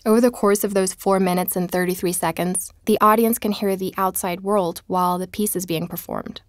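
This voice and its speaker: An actress playing a curious woman investigator who hosts a podcast in an audio drama, speaking in a measured, explanatory way. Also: mid-range voice, steady tone, dry recording, clean studio sound, lightly acted. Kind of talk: scripted documentary-style podcast narration.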